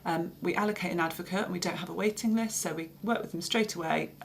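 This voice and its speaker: A woman with an English accent who works for a children's advocacy service, explaining its work in a steady, informative way with occasional fillers. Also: fairly low pitch, quick pace, gravelly.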